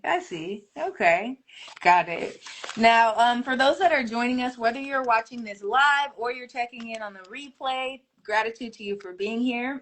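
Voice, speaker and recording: A woman's voice: on the high side, slight background noise. A woman hosting a casual live interview, telling stories with lots of laughter, on a video call. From Nigeria. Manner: laughs often, animated storytelling. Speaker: host. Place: United States.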